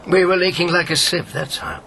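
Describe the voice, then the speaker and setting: An elderly wartime codemaster with a high, bright, tense voice and faint noise behind him. He is earnest, remorseful and doubts himself, in the manner of a radio play. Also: steady tone, a little fast, gravelly, exaggerated voice. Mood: bitter sympathy. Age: 70s. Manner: earnest, regretful. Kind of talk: radio drama acting.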